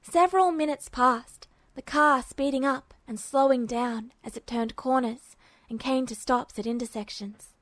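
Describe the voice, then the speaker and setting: Female amateur narrator for fiction, fairly high voice, dry, close sound. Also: a little slow, hardly any pauses, a bit bright, no room sound. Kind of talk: scripted story narration.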